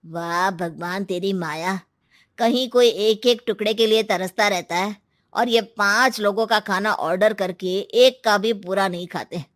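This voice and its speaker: A woman voicing a kind young male waiter in a cartoon story, speaking softly and sympathetically. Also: mid-range voice, measured pace, dry recording, studio quality, close to an everyday voice. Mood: sympathetic.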